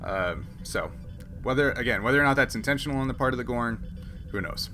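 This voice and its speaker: A man working as game master, explaining and ruling on a tabletop game in a halting, think-aloud way. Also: medium pitch, over music.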